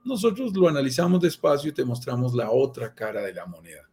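A man's voice: medium pitch, lively intonation, fairly quick, darkish tone. Colombian man hosting a sales live stream. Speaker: host, sales director of a property firm.

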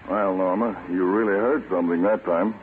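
An actor playing a calm, authoritative American counterintelligence chief in a 1940s radio drama, measured and assured. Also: male voice, sits low, natural voice. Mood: satisfied. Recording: tinny, noisy old radio recording.

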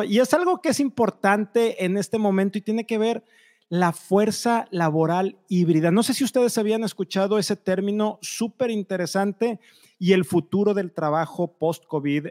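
A man hosts a live video-call interview in a notably high voice. He chats in an upbeat, easygoing way, dropping in fillers as he goes. The feel is casual. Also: moderate pace, a little mellow. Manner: chatty, upbeat, frequent fillers. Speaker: host. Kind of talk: live online interview show.

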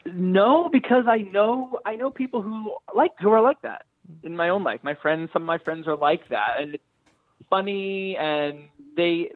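A man's voice: high voice, unhurried, no room sound, phone-quality sound. A man being interviewed as a screenwriter, speaking thoughtfully and often rephrasing as he searches for words. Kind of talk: thoughtful interview talk about own writing.

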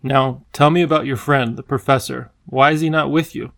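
A man voicing a towering, irritable but soft-hearted royal official in a storybook, brisk and grave. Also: medium pitch, steady tone, creaky. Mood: grave, firm.

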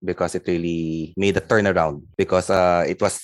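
Middle-aged male guest, Filipino accent, deep register, dry recording, conversational delivery. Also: level tone, normal speed, dry recording. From Philippines. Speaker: sales lead, interview guest. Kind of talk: casual interview chat.

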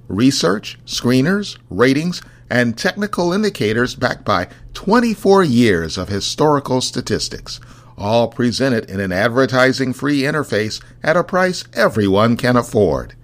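A man's voice: mid-range voice, big pitch swings, a little slow. An American man hosting a podcast, reading scripted introductions and adverts. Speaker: podcast host.

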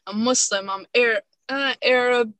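A woman's voice: fairly high pitch, steady tone, measured pace, a bit bright, no room sound. A young woman from a Moroccan family in the United States, speaking as a guest in an earnest, emphatic, casual way. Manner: earnest, emphatic, laughs often. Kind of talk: casual chat.

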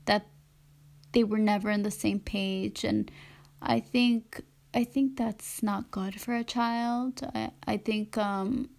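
A woman's voice: medium pitch, vocal fry. A woman speaking reflectively about her upbringing, hesitant, with many fillers. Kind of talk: reflective personal testimony.

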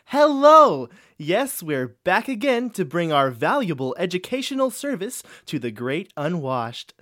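Lady as a pompous flirty showman, slow and swoopy, giggly, grand, super dry studio sound. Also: fairly low pitch, sing-song, fairly bright tone, no room sound. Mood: grandiose. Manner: grandiose, fawning, chuckling. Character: pompous, giggly, flirtatious male book-review impresario.

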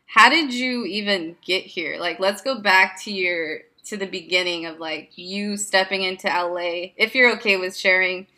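A woman's voice: medium pitch, moderate pace, few pauses, bright, forceful tone. A woman interviewing a guest, asking curious questions and often answering with short backchannel words. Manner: curious, with frequent backchannel "right".

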